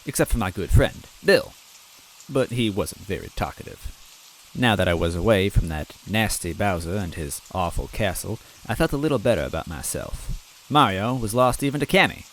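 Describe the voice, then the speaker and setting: Low-voiced male storyteller, soft and wistful with gentle comedy, faint rain behind. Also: expressive, normal speed, a little hiss or hum, rough recording. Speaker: storyteller reading a fan story. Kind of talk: first-person story reading.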